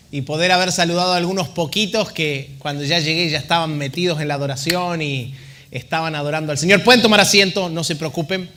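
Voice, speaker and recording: A man's voice: high voice, sharp tone. A man, telling his testimony to a church congregation in a joking, chatty way. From Argentina. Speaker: missionary guest speaker.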